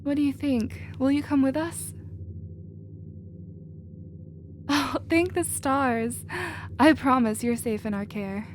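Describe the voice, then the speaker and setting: Girl playing a bubbly protective alien woman, voice a bit high, noisy recording, sci-fi audio roleplay. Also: measured pace. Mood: warm, reassuring. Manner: casual, nurturing, expressive.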